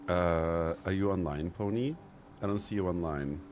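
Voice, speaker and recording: A man's voice: low voice, moderate pace, slight background noise. A man chatting casually and slangily as he streams a game. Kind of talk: casual livestream chat while gaming. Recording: loud background music. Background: music.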